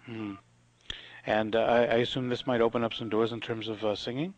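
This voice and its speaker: A man's voice: mid-range voice, level tone, brisk, dry recording. A man hosting a radio interview, asking questions with many hesitant fillers. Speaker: radio host. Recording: dull lo-fi broadcast sound.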